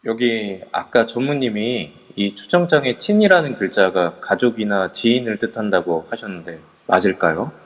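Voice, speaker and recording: A man playing a young male group leader who gives brisk orders in an amateur audio drama. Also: lowish voice, natural voice. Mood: lively. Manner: animated, commanding. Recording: reverb-heavy online audio.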